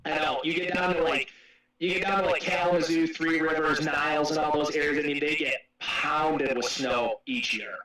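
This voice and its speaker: A man's voice: on the high side, fairly even intonation, moderate pace. An American man working as a sales representative, talking in a chatty, accommodating way on a video call as he handles account business and small talk. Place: video conference call from home. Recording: roomy, distant video call with loud chatter.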